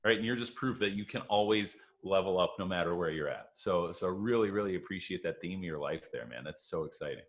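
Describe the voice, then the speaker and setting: A man with a fairly low voice talks with keen, emphatic energy, building each point and checking in with "right?". His delivery is that of an encouraging health coach in casual conversation. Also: brisk, hardly any pauses. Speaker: podcast guest, health coach. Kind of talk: conversational interview.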